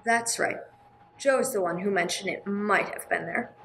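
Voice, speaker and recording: A woman playing a gentle, earnest, sorrowful nun in a radio play, speaking with some hesitation. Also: mid-range voice, unhurried, low-quality recording. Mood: earnest, helpful. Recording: clear background noise, room ambience.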